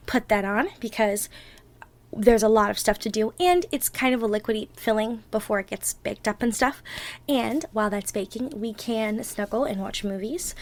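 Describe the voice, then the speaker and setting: A woman playing an affectionate, playfully bossy girlfriend in a one-sided ASMR roleplay, chatting and thinking aloud as she bakes. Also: a little high. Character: bubbly, bossy, affectionate young girlfriend baking for Thanksgiving. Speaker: roleplay audio performer.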